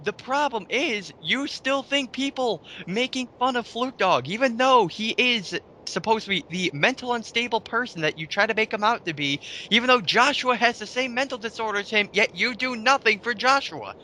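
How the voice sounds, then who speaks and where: A man's voice: extremely high pitch, animated, normal speed, hardly any pauses, pushed and bright, slight background noise, rough recording. A man ranting casually in a group commentary, scornful and exclamatory, swearing as he goes. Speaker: co-host of a mocking commentary.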